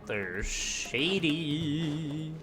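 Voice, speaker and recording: Slow, deadpan male game streamer. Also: on the high side. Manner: laid-back, deadpan. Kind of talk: casual play-along commentary. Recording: game music behind.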